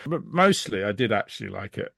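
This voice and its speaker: Low-pitched man chatting casually. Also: big pitch swings, fairly quick, vocal fry, fairly bright tone, husky, no echo, studio quality. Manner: rambling, emphatic, frank and sweary. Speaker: podcast guest reviewer. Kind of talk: casual review chat.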